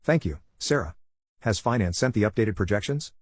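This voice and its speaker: A man voicing a measured, restrained, career-minded engineer husband in a narrated story. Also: on the low side, lively intonation, no room sound, clean studio sound. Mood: measured, reserved.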